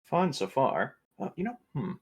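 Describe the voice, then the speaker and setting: A man's voice: a little high, huge pitch swings, moderate pace, vocal fry, slightly rough. A man playing a tabletop roleplaying game, speaking casually in and out of character and often thinking aloud with hesitations.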